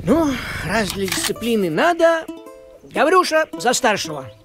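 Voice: medium pitch, sing-song, measured pace. An actor playing a fussy, businesslike talking male cat in a cartoon, officious and self-important, with music behind. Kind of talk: cartoon voice acting.

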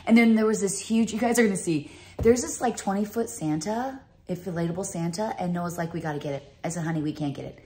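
A woman's voice: fairly low pitch, quick pace, dark tone, a little hiss or hum. A woman in her fifties chatting to her phone camera in a home kitchen, gushing over decorations in a casual vlog. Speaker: vlogger. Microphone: phone held at arm's length.

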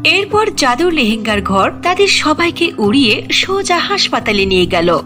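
Woman, high lively voice, fairy-tale narration, music underneath. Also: moderate pace. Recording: music behind the voice.

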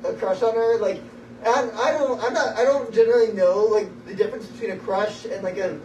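High-pitched, rambling young man on stage. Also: a little mellow, slight background noise. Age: young adult. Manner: rambling, self-conscious, often trailing off. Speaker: amateur singer-songwriter at an open mic. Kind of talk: casual rambling stage banter between songs. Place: small wood-ceilinged open mic venue, indoors. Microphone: phone in the audience, far from stage. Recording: distant, roomy, dull phone recording.

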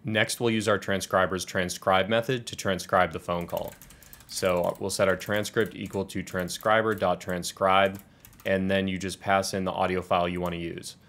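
A man in his twenties with an American accent presenting a software tutorial in an explanatory, step-by-step way. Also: low-pitched, flat delivery, moderate pace. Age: 20s. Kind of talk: scripted software tutorial walkthrough.

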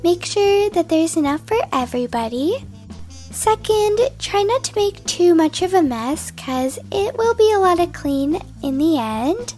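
A female performer voicing a cheerful, kind woman schoolteacher in a children's animated roleplay, speaking in an upbeat, instructive way. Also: very high voice, unhurried, heavily acted. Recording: music behind the voice.

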